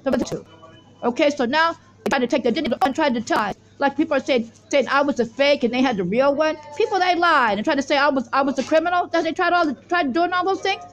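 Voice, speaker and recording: Woman w a really high voice, rambling on about her beliefs, insistent, keeps repeating herself, jumps around, no script. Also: quick pace, a bit bright. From Israel. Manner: insistent, repetitive, disjointed. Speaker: self-styled religious teacher introducing the weekly Torah portion. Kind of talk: rambling unscripted monologue.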